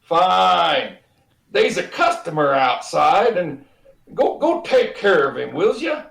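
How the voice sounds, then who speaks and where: A man's voice: high-pitched, very animated, takes it slowly, vocal fry, fairly bright tone, exaggerated voice. A man playing a flustered, evasive boss who stammers and orders people about in folksy grammar, in a retro radio comedy. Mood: harried. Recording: roomy, echoey video-call recording.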